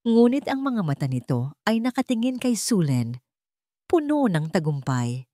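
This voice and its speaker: A woman's voice: medium pitch, very animated, measured pace, warm tone, no room sound, clean studio sound. A woman storyteller giving dramatic scripted narration of a romantic story.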